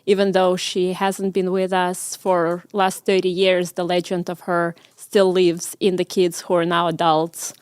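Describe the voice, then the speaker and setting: A woman in her forties from Russia with a Russian accent, telling her story as an interview guest with many pauses and ums. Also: fairly low pitch, level tone, darkish tone, clean tone, no echo. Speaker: guest, medical researcher. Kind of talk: conversational interview.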